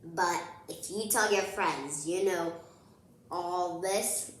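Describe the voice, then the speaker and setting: A woman reading a picture book aloud to children in an expressive, playful way, speaking directly to her young listeners. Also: on the low side, slow. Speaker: children's read-aloud host. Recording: very echoey room.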